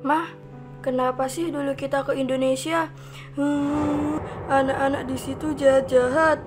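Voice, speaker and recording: A woman voicing an innocent, plaintive young girl in an animated horror story. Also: high-pitched, unhurried, over music. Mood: sulky.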